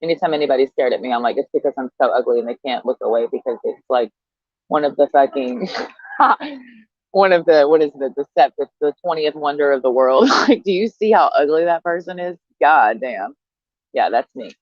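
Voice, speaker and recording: A woman's voice: a bass voice, lively intonation, fairly quick, no echo, dull audio. A woman in her thirties vlogging in a brash, profane, sarcastic monologue, laughing often as she talks. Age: 30s. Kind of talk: casual monologue to camera.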